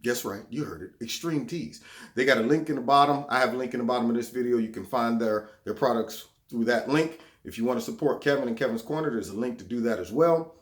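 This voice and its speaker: A man's voice: mid-range voice, quick pace. A man hosting his own political commentary vlog, talking sarcastically and repeating words when he gets heated. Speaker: vlog host.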